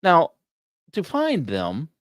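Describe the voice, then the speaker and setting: A man hosting a science podcast, reading scripted narration with casual asides and musing, dramatic pauses between short phrases. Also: fairly high pitch, wildly swooping pitch, no echo, studio quality. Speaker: podcast host.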